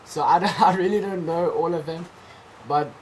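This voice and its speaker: A man's voice: a little high, normal speed, a little mellow, slight background noise, low-quality recording. Teenage South African guy, Afrikaans native. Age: teens. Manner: hesitant, lots of ums, friendly. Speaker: guest, junior tennis player. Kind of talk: casual interview answers. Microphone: video call.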